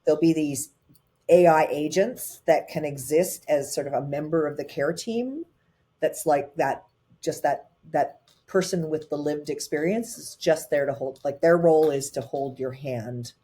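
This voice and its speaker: Animated woman telling her story. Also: low-pitched. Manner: animated, quick bursts with frequent fillers. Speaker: guest, tech advisor. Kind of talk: conversational storytelling.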